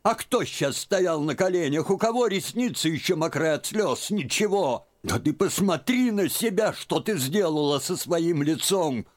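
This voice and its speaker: A man voicing an excitable elderly aunt who frets and scolds in a raised voice. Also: moderate pace, few pauses, natural voice. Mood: indignant. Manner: shrill, fretful, scolding. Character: fussy excitable old aunt, elderly woman.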